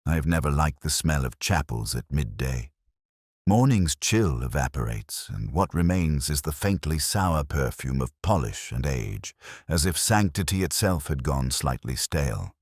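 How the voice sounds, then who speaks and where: A man playing a weary, sharp-minded police detective, narrating a mystery in a measured, literary, wry and brooding way. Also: rumbling low, sing-song, breathy edge, no echo, clean studio sound, full character voice. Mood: weary, reflective. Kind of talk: scripted first-person detective narration.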